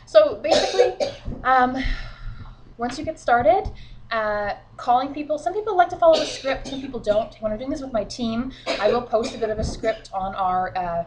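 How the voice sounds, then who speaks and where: A woman's voice: fairly high pitch, expressive, moderate pace, crowd noise, rough recording. Chatty woman with a sniffly cold. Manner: chatty and encouraging, frequent fillers. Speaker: sales team leader. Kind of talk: casual instructional vlog. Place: at home, children beside her.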